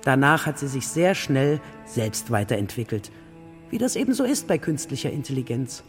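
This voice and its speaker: An older woman playing a spirited, affectionate grandmother in a radio play, talking in a lively way. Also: extremely low pitch, normal speed. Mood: spirited, confiding. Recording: reverberant hall, music behind.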